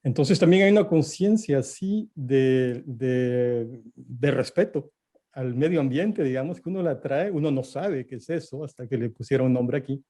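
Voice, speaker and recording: A Guatemalan man speaking with a Guatemalan Spanish accent as a guest on an online panel, slow and thoughtful with many pauses. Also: mid-range voice, mellow. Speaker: panel guest, theater artist.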